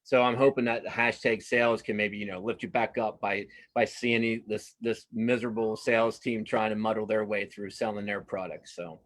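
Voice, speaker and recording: A man with a fairly low voice talks casually as a guest in an interview. He speaks as a working cartoonist, circling back on his phrases and repeating words as he goes. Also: level tone, fairly bright tone. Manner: halting, repeats words and restarts phrases often.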